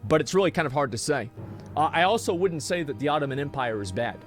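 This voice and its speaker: A man streaming live talks in a loose, rambling way, swearing freely and restarting his sentences as he goes. His voice sits somewhat high for a man, with faint music playing underneath. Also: expressive, fast. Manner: rambling and profane, many restarts. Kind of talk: casual live-stream chat while gaming.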